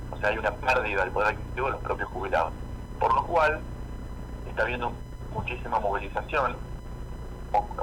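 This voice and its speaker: Low-voiced Argentine man, noisy recording. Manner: halting, thinking aloud, repeating words as he goes. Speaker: radio columnist. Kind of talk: spoken political and economic commentary.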